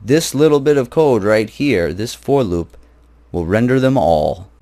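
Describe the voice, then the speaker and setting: A man's voice: mid-range voice, animated, a little slow, dark tone. A man teaching an informal screencast programming tutorial, explaining step by step in a casual, blunt, conversational way.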